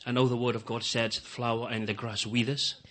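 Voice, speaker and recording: A man whose first language is Fijian, preaching a sermon in English in short phrases with many fillers, pausing for an interpreter. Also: medium pitch, flat delivery, fairly bright tone. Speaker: guest preacher.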